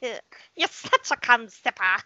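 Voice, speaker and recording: A foul-mouthed leprechaun villain, acted by a woman in a comedy skit. The voice is high and bright with a swooping, animated range, mocking and menacing in turn. It sounds dry and slightly dull in the recording. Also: slow, no room sound, exaggerated voice. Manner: taunting, exasperated.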